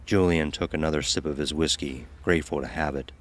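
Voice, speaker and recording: A man narrating a scripted dark audio story. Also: a bass voice, steady tone, fairly quick.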